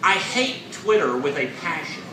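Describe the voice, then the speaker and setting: A man in his forties performing a stand-up comedy set on a club stage, with deadpan, self-mocking punchlines. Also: a little high, normal speed, distant mic, noisy background. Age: 40s. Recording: echoey club, audience laughter.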